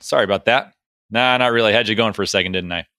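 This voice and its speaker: A man's voice: lowish voice, fast, bright, forceful tone, no room sound, clean studio sound, soft background music. A man cohosting an aviation podcast, chatty and upbeat, with a few jokes between topics. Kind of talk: podcast monologue and interview.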